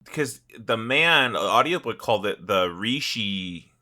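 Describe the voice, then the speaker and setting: Male podcast host: bright, forceful, very lively intonation, exclamatory, casual and foul-mouthed banter. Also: lowish voice, measured pace. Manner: animated, exclamatory, swears freely. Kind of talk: casual comedic podcast chat.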